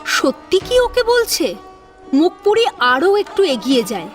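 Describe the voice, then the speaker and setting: A woman's voice: very high voice, sing-song, unhurried, faint music behind. A woman narrating a scripted story in a dramatic storytelling style.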